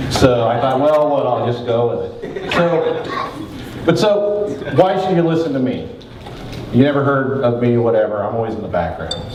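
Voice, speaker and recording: A man delivers a lively conference talk, fast and joking with a big voice. He sounds distant from the mic, and the hall throws back a strong echo with faint room noise underneath. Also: medium pitch. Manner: fast-talking, joking, energetic. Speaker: conference presenter. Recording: echoey hall from far off.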